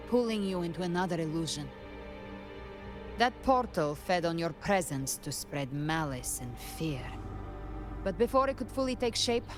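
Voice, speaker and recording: An actress playing a cool, cryptic guardian in a video game, speaking with deliberate, theatrical poise as she explains. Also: lowish voice, lightly acted. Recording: game music clearly behind the voice.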